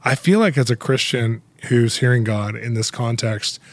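A man hosting a podcast interview, chatty and upbeat, with quick laughs, in a studio. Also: medium pitch, no room sound. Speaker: podcast host. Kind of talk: casual interview chat.

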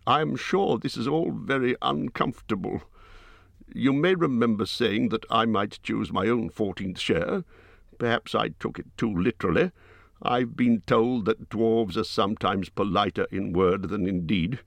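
A man's voice: fairly low pitch, a little slow, vocal fry, no echo, pitched above the performer's own voice. A man voicing a timid, fussy male hobbit who talks in a fretful, apologetic way.

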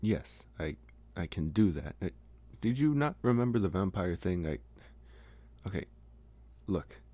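A man playing a smitten young college vampire in an intimate, hesitant, soft-spoken ASMR roleplay. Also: sits low, sing-song, husky, dry recording, full character voice. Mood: earnest, matter-of-fact. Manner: hesitant, soft-spoken, slow with long pauses. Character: young male college vampire, smitten, calm, gently possessive. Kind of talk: ASMR roleplay, intimate one-sided dialogue.

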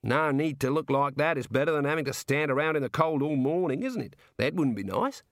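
A man's voice: on the high side, animated, brisk, no room sound, clean studio sound, pitched above the performer's own voice. A man voicing a cheerful, chatty prison warder with an Australian accent and a breezy, matey manner, in a storybook reading. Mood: helpful, chatty. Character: cheerful chatty male prison warder, jovially morbid, folksy.

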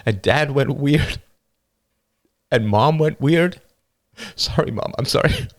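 A man voicing a grieving young teenage boy who talks haltingly, his voice climbing high and strained. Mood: anguished.

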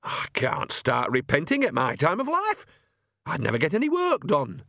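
Nanny Ogg, a man doing a shrill cheeky old witch. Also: moderate pace, gravelly, no echo, clean studio sound, full character voice. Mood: brash. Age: older. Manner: brash, excitable, cheeky. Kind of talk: audiobook character voice.

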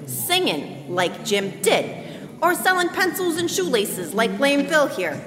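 A woman's voice: sits high, very animated, takes it slowly, a bit bright, heavily acted. A woman playing a folksy, plain-spoken apple seller rallying fellow beggars in a live radio drama. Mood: rallying, determined. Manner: folksy, emphatic, ungrammatical speechmaking. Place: church hall stage. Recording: echoey hall, music behind.

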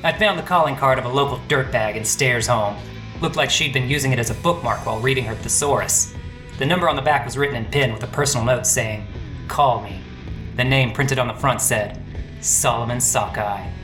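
A man playing a weary, melodramatic police detective in a parody noir radio play, wordy and deadpan. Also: mid-range voice, a little fast, roomy sound, music in the background, natural voice. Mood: self-important.

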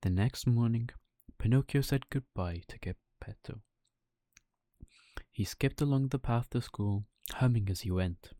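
A man's voice: medium pitch, unhurried, mellow, no room sound. A man narrating a children's bedtime story as a storyteller.

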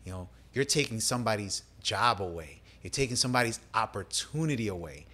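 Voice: medium pitch, animated, a little fast, bright, forceful tone, breathy edge. A host in a casual chat who agrees readily, uses many short backchannels and drops in Spanish phrases.

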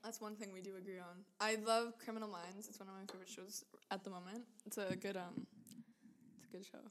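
An American teenage girl co-hosts a school podcast in a crowded classroom. Her voice sits at a mid pitch, and she talks in a loose, giggly way with plenty of restarts. Faint chatter and clicks run underneath. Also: flat delivery, brisk, slight background noise. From United States. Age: teens. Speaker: podcast co-host. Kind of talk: casual chat.

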